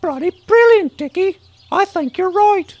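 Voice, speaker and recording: A man voicing an excitable young companion in an audio drama, with a proper manner of speaking. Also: extremely high pitch, a little slow, voice pushed up. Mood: excited. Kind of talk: scripted audio drama acting.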